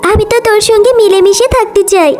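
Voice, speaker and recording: A woman voicing a gentle, put-upon orphan girl in a cartoon, speaking meekly and eager to please. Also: very high-pitched, fairly even intonation, fast talker. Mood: pleading. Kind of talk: cartoon dialogue. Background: music.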